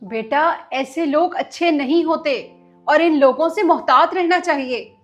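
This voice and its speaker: Woman playing caring mother, protective, high female voice, moral-story drama, light background music. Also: measured pace. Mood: concerned. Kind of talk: acted moral-story skit.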